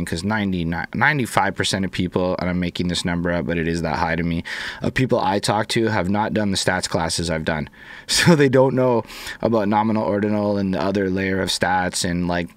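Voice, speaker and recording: Deep analytical podcast co-host. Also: male voice, dry recording. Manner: analytical, explaining statistics. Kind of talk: casual chat. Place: podcast studio reading a live chat.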